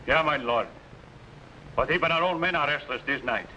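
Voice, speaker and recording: An actor playing a loyal but doubtful lieutenant in a 1950s TV serial, speaking cautiously and deferentially. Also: male voice, mid-range voice, normal speed, bright, forceful tone, slight background noise, rough recording. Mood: wary. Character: wary loyal adult male lieutenant to a warlord.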